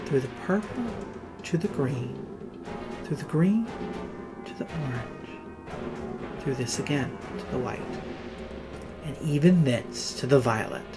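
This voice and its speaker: A man narrating Gothic horror in a theatrical, dramatic way, with measured phrases that swell and drop in volume. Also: on the high side, a bit bright. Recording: music under the voice.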